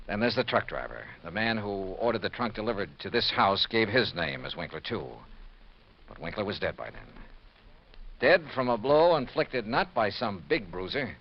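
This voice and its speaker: An actor playing a shrewd, confident, hard-boiled investigator with a clipped, probing manner in a 1950s radio detective drama. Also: male voice, on the low side, very animated, moderate pace. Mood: accusing. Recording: muffled, hissy 1950s radio recording.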